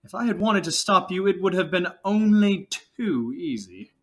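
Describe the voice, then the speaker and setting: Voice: mid-range voice, animated, moderate pace, close to an everyday voice. An actor in an audio drama playing a vain, showy male wizard professor with a pompous, theatrical, self-assured way of talking. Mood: breezy bluster.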